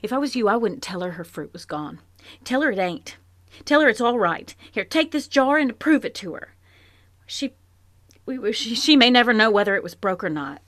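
An earnest, plainspoken farm wife full of regret, in a radio play. Her voice is close and dry, and it often sinks to a hushed whisper. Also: fairly high pitch, big pitch swings, normal speed, no echo. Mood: anguished. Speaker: Mrs. Hale, farm wife. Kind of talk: live radio play acting. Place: home closet.

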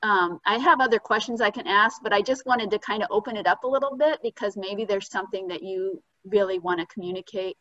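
A woman's voice: mid-range voice, a little fast, hardly any pauses, a bit bright, no echo. Young female moderator, hesitant and filler-heavy, dry lo-fi computer mic. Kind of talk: panel Q&A moderation. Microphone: computer mic on a video call.

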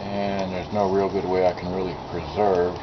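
A man's voice: low-pitched, flat delivery, normal speed. A man casually talking through an unboxing in a rambling, hesitant way, with many fillers and restarts, recorded outdoors at a table on a small camera's built-in mic. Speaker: vlogger unboxing cassette tapes. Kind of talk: casual unscripted unboxing commentary. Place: outdoor table by a sunny window. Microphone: built-in mic of a small digital camera. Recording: dull lo-fi camera audio with background noise.

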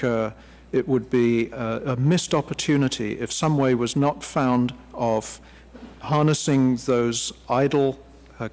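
A middle-aged man testifying formally at a hearing, pausing often, into a desk microphone. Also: mid-range voice, a little mellow. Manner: measured, formal, with frequent pauses. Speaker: hearing witness. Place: large hearing room. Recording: reverberant hearing room.

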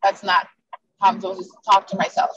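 A woman's voice: mid-range voice, monotone, brisk, highs cut off, very noisy. A native Spanish-speaking woman with a Spanish accent, asking casual, somewhat hesitant questions as an agent on a group call. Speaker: meeting participant, real estate agent.

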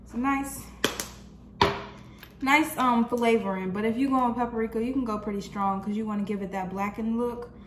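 A woman's voice: a little high, steady tone, normal speed. A young American woman casually demonstrating cooking to viewers in a chatty, slangy way, recorded in a home kitchen. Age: young adult. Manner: informal, chatty, folksy slang. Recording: echoey kitchen with noticeable background noise.

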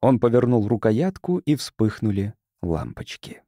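A man's voice: on the low side, very animated, measured pace, mellow, no room sound, clean studio sound. A man reading science fiction as a scripted audiobook narrator.

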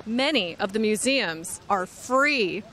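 A middle-aged woman reporter interviewing tourists outdoors with a handheld mic, speaking slowly and clearly for learners. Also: medium pitch, animated, bright, forceful tone, a little hiss or hum. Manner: upbeat, enunciates carefully. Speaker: reporter host of an English lesson. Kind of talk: slow, clear scripted interviewing. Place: outdoors on city streets. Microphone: handheld microphone.

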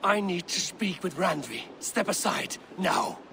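A man's voice: sits high, lively intonation, unhurried, gravelly, background noise, full character voice. A man playing a stern, resolute Viking warrior leader burdened by duty, in dramatic video game acting. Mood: firm.

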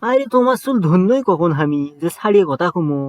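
A broke young cartoon trickster, played by a man, who is always scheming. He speaks with a Bogura accent in a high, cheeky voice. His banter is full of teasing. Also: animated, a little fast, loud music behind the voice. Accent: Bogura dialect of Bangla. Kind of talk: cartoon comedy voice acting.

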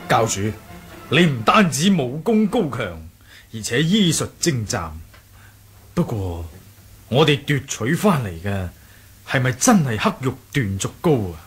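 An actor playing a loyal, deferential follower of a martial sect in a radio drama, with a raspy voice. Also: male voice, mid-range voice, very animated, a little slow, faint music behind, exaggerated voice. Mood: admiring, relieved. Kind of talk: Cantonese radio drama acting.

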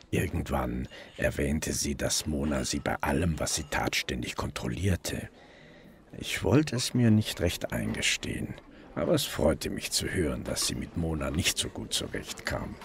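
An actor playing a weary, awkward middle-aged police detective who narrates and speaks in an audio drama. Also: male voice, very deep voice, slightly rough, exaggerated voice.

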